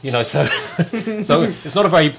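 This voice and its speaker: A man's voice: fairly high pitch, animated, moderate pace, vocal fry, noisy background. A man hosting an interview, asking long, searching questions and circling back as he talks. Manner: rambling, searching questions with many restarts. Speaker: interviewer host. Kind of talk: casual interview chat.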